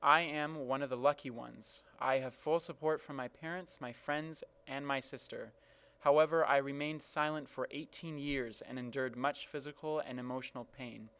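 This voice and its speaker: A man's voice: mid-range voice, steady tone, moderate pace, a bit bright, clear, resonant tone, low-quality recording. A man reading a written personal reflection aloud. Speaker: reader of personal testimony.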